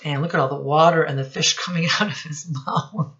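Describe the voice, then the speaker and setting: Female storytime teacher, middle-aged, slightly low pitch, instructive read-aloud for young children, muffled home webcam recording. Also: fairly even intonation, fairly bright tone. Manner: instructive, addresses children directly, pauses to point things out. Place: small room at home, indoors.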